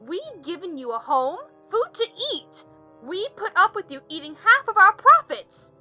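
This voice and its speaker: A woman's voice: very high voice, big pitch swings, unhurried, bright, forceful tone, faint music behind, voice pushed up, full character voice. A woman voicing an exhausted, exasperated motherly female pony who scolds sternly and emphatically. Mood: scolding.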